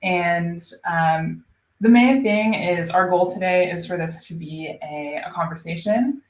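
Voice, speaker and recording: A woman's voice: lowish voice, a little slow, echoey room, low-quality recording. A woman hosting a live online webinar as a solutions engineer, chatty and upbeat with frequent ums.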